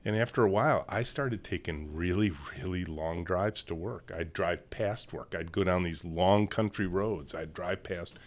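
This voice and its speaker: A middle-aged man with a deep voice, a company leader telling stories in an interview and acting out dialogue. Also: moderate pace, few pauses. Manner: animated storyteller, acts out dialogue in higher voices. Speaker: CEO and co-founder, interview guest. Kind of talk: storytelling in a podcast interview.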